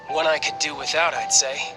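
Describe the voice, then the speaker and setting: An actor playing a cocky, cheerful young warrior in a video game, breezy, playful and eager for a fight. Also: male voice, fairly high pitch, fairly even intonation, normal speed, lightly acted. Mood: wry. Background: game music.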